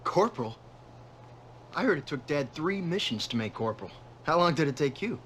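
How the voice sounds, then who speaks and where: A cocky, lively young space marine. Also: male voice, fairly high pitch, moderate pace, slight background noise. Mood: cocky, curious. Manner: cocky, breezy. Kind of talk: scripted game cutscene acting.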